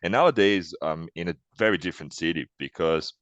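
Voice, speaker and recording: A young man in his twenties from Brazil with a Brazilian Portuguese accent, answering interview questions casually and thoughtfully, with restarts and soft laughs. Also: deep voice, brisk, dry recording, muffled recording.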